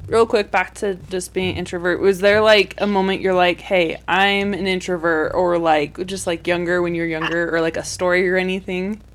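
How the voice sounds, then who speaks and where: A woman's voice: lowish voice, little pitch movement, normal speed, hardly any pauses, a little hiss or hum. A woman hosting a podcast in casual conversation, chatty with many fillers. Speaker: podcast host.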